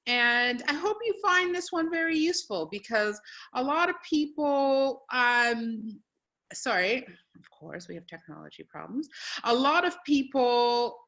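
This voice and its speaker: A woman's voice: fairly high pitch, expressive, a little slow, a bit bright. A woman from Quebec with a Quebec English accent hosts a live webinar in an upbeat, encouraging way, sometimes repeating her greetings. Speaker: webinar host and literacy program coordinator.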